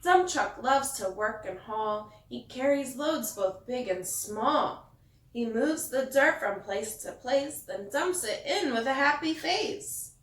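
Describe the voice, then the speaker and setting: A woman with a fairly high voice reads a children's bedtime story at an unhurried pace. Her delivery is expressive and sing-song, leaning on the rhymes as a teacher would for young listeners. The sound is roomy and echoey. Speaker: teacher reading a picture book to children. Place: echoey indoor room. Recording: echoey room, distant mic.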